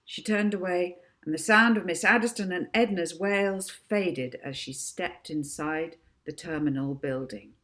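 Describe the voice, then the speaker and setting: A woman author reading her own children's book aloud as expressive narration. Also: fairly low pitch, unhurried, few pauses.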